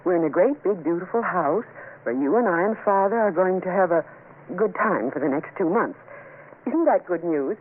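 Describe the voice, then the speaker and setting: An actress playing an anxious, soft-hearted wife and mother in an old-time radio drama. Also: on the low side, expressive, normal speed, close to an everyday voice. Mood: soothing. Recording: muffled, noisy vintage radio recording.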